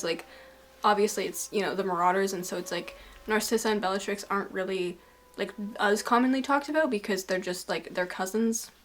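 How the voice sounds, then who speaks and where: A young woman rambling through a casual video essay, self-deprecating, her voice a little raspy and tired. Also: mid-range voice, fairly bright tone.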